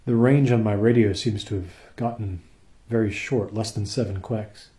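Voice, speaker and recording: A man plays a dutiful crewman in a science fiction radio drama. His voice is fairly low and very mellow, and he reports to his captain in an earnest, measured, respectful way. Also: fairly even intonation, close to an everyday voice. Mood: earnest, concerned. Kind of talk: radio play acting.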